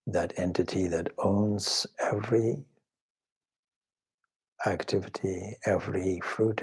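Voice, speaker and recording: Slow, low, husky man guiding meditation. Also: a little mellow. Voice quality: husky, breathy. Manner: measured, reverent, pausing between short phrases. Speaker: swami leading a guided meditation. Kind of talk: slow spoken guided meditation.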